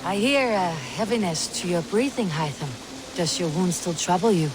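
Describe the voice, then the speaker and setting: An actress playing a proud, blunt Viking warrior leader in a video game, dry, wry and commanding. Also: female voice, on the low side, expressive, moderate pace, music in the background, natural voice, rough recording. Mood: concerned. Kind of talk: dramatic video game acting.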